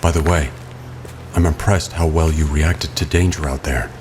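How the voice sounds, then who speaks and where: An actor playing a stern, brooding, protective masked vigilante in an audio drama, speaking in a terse, controlled, grave way with music behind him. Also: male voice, very deep voice, fairly even intonation, normal speed, noisy background. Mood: calm, terse.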